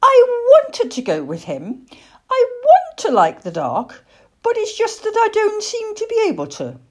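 A woman's voice: very high-pitched, wildly swooping pitch, unhurried, voice pushed up, full character voice. A woman voicing an anxious, eager young boy barn owl in a storybook, with a childlike, earnest manner. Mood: anxious, upset.

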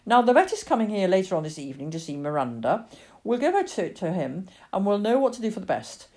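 A woman's voice: mid-range voice, big pitch swings, quick pace, darkish tone, natural voice. A woman voicing a firm, exasperated farmhouse mother in a story, scolding sharply.

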